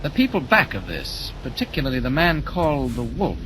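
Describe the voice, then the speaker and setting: An actor playing a confident, determined reporter who is secretly a superhero, in assured, urgent 1940s radio style. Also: male voice, mid-range voice, big pitch swings, brisk, close to an everyday voice. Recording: noisy old 1940s radio broadcast, vehicle noise.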